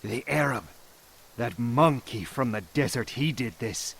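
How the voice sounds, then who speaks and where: An actor playing a lying villager in an audio drama, halting and emphatic as he makes his accusation. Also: mid-range voice, lively intonation, vocal fry, very noisy. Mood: accusing, agitated. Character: lying male villager killer, grimly accusing a foreigner.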